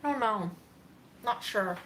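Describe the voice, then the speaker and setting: A woman's voice: mid-range voice, expressive, measured pace, a bit bright, a little hiss or hum, rough recording. A woman chatting casually as she answers viewer questions, musing and easygoing. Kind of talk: casual Q&A chat.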